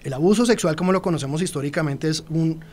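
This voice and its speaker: Man, Colombian accent, radio guest expert, fast talker, explanatory. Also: fairly high pitch, a little mellow. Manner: explanatory, addresses listeners directly. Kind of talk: radio interview.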